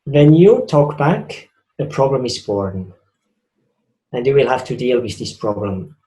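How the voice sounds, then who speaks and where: A man's voice: mid-range voice, expressive, measured pace, dark tone, roomy sound, rough recording. A man with a Swiss accent giving a reflective spiritual talk, earnest and repeating phrases for emphasis. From Switzerland. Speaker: spiritual speaker.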